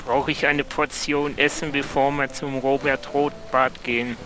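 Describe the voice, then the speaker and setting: A man's voice: mid-range voice, level tone, measured pace. A man role-playing as a player in an improvised audio adventure, using his own voice. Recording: faint background noise and ambience.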